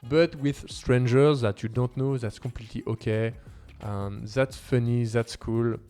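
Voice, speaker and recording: A man's voice: medium pitch, steady tone, unhurried, mellow, clean tone. Male vlog host, native French speaker with French accent, mid-range voice, casual non-native phrasing, light music underneath. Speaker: language-learning video host. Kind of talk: casual talk to camera.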